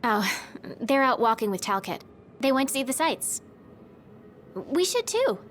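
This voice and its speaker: Lively, cheerful teenage game heroine. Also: female voice, high-pitched, unhurried, a little hiss or hum. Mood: playful, upbeat. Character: cheerful teenage girl, friendly and teasing. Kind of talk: video game cutscene acting.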